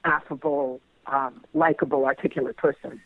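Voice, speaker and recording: A man's voice: medium pitch, steady tone, moderate pace, no echo, phone-quality sound. A man speaking reflectively as an interviewed playwright, hesitant and repeating words as he searches for phrasing.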